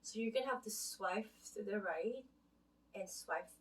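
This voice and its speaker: A woman's voice: mid-range voice, unhurried. A woman with a Filipino accent giving casual spiritual life advice in a rambling way, often checking in with "right?" between her points. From Philippines. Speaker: vlogger. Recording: voice from a distance off the mic.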